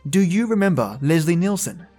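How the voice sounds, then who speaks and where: A man hosting a podcast, reading scripted spooky storytelling narration in a theatrical way with dramatic pauses. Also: sits high, big pitch swings, mellow, soft background music.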